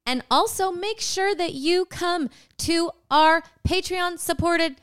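A woman's voice: very high voice, slow, fairly bright tone. A woman co-hosting a comedy podcast from a hotel room, talking in a wry, offhand way. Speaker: podcast co-host. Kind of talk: casual comedic banter.

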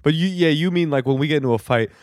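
A man's voice: fairly high pitch, very fast, no room sound, studio quality. An American man co-hosting a comedy podcast, chatting casually in a wry, joking way. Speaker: podcast co-host.

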